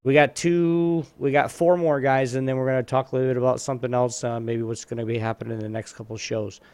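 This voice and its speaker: A man's voice: mid-range voice, little pitch movement, fast, a little mellow, smooth, no room sound. A man casually hosting a trivia round on a live show, rambling and often rephrasing himself.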